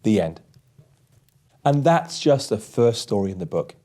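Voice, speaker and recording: A man narrating a scripted story as an audiobook host, with measured, deliberate phrasing. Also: lowish voice, big pitch swings, mellow.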